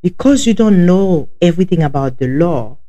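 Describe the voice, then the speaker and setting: A woman's voice: fairly low pitch, lively intonation, moderate pace, warm tone, highs cut off. Woman with a heavy Haitian accent. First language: Haitian Creole. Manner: chatty, addresses listeners directly. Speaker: podcast host. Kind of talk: casual podcast chat.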